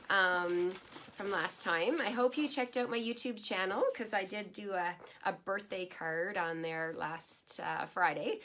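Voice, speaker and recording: A woman's voice: lowish voice, a bit bright. A middle-aged woman from Canada with a head cold and a slightly nasal voice, chatting with live viewers as a stamping demonstrator, greeting people and laughing often. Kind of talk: live craft video chat.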